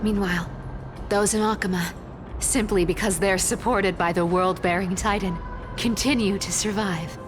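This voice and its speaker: A woman's voice: medium pitch, steady tone, normal speed, over music, close to an everyday voice. A woman playing a sly, carefree young female thief in a video game, speaking in a wry, teasing and nonchalant way. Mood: wry, musing. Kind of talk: video game character dialogue.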